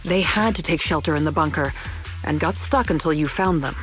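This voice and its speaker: A man voicing a composed, analytical strategist in video game story dialogue, speaking in a measured, serious way. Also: fairly high pitch, fairly bright tone, soft background music, natural voice. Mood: serious, calm. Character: composed, analytical strategist briefing the team on a threat.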